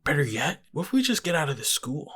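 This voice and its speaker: A man's voice: fairly high pitch, big pitch swings, quick pace, fairly bright tone, slightly rough, full character voice. A man playing a loyal, rebellious teenage boy in an improvised tabletop game, talking in hesitant, slangy bro talk. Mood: nervous, eager. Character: laid-back teenage boy, a loyal, rebellious school buddy.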